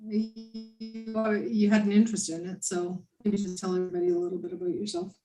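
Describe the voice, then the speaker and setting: A woman chairing a committee meeting over a video call, friendly and halting, with many fillers and restarts. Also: mid-range voice, completely flat delivery, mellow, soft background music, poor audio. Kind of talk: casual meeting chat.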